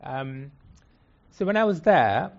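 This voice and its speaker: Older man, grew up in Kenya speaking Swahili and Gujarati, relaxed storytelling guest, slightly dull lo-fi sound. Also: fairly high pitch, slow pace, creaky. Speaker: guest, cancer surgeon. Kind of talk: conversational storytelling. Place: before a live audience in a hall.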